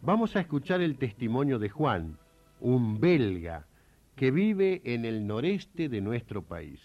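Slow, expressive male radio host. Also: mid-range voice, lo-fi sound, soft background music. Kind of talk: scripted reflective narration.

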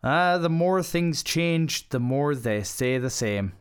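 A man's voice: fairly high pitch, lively intonation, slow, clear, resonant tone. An Irish man hosting and interviewing on radio, with polished, formal presenter phrasing.

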